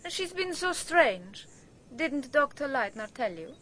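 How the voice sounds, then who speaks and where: An actress playing a gossipy, spiteful archaeologist's wife in a radio drama, insinuating and emphatic. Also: female voice, high voice, sing-song, slow, bright, forceful tone, a little hiss or hum, heavily acted. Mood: spiteful gossip.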